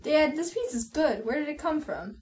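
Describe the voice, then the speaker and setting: A woman's voice: high-pitched, expressive, moderate pace, far from the mic. A woman acting a hesitant, uneasy young girl, an older sister in a radio play. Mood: casual, reluctant. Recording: roomy, loud music underneath.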